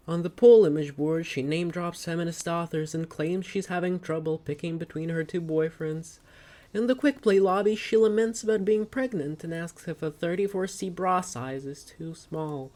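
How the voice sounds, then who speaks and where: A woman giving a dramatic scripted narration of a comic, with literary, deliberate delivery. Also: on the low side, mellow.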